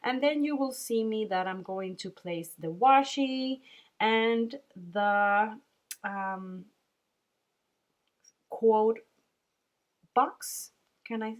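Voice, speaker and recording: A young woman from Puerto Rico with a Puerto Rican Spanish accent, narrating a casual voice-over as she decorates a planner, pausing often with ums and small laughs. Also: on the high side, expressive. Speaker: planner sticker shop owner.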